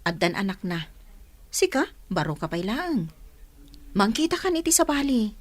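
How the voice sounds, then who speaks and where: Casting: caring, outspoken Filipino big sister, mid-range voice, radio serial. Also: female voice, medium pitch, very animated, moderate pace. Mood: frank, concerned. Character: frank, caring elder sister. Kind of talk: Filipino radio drama acting.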